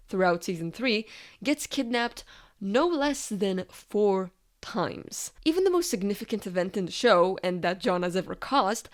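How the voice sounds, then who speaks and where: A woman reading a scripted, analytical video essay as its narrator. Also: medium pitch.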